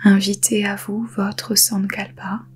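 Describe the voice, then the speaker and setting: A woman guiding a yoga nidra meditation in a soft, breathy half-whisper, calm and soothing, with unhurried pauses. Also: mid-range voice, a little hiss or hum. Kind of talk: guided meditation.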